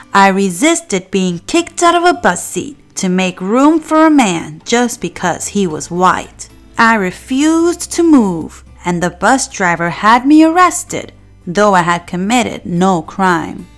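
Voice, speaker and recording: A woman narrating a children's picture book in an expressive, deliberate storytelling manner. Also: medium pitch. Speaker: children's read-aloud narrator. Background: faint music.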